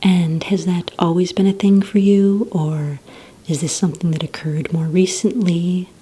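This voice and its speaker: A woman speaks in a breathy, low whisper, playing a calm, businesslike receptionist in an ASMR roleplay. Her voice is mellow and gentle, with hesitant, unhurried phrasing. A faint rumbling noise sits behind her. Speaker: ASMR roleplay performer as a villain recruitment receptionist.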